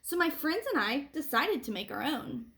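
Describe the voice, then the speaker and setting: A woman reading a picture book aloud to children like a teacher, with animated phrasing and deliberate emphasis. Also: sits high, a little hiss or hum. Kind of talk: expressive read-aloud storytelling for children.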